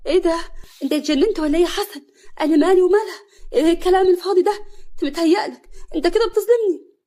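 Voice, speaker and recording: A woman voicing a scheming young woman, shrill and indignant, with an Egyptian accent. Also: rapid-fire, warm tone. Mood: feigning shock. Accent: Egyptian Arabic. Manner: shrill, indignant, mock-shocked.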